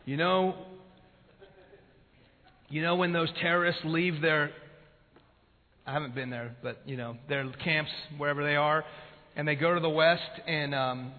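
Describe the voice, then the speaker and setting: A man from Iran, whose first language is Farsi, preaching a sermon as a pastor, swinging from loud passion to hushed tones. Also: fairly high pitch, a little slow, long pauses. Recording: phone-like sound with long hall reverb.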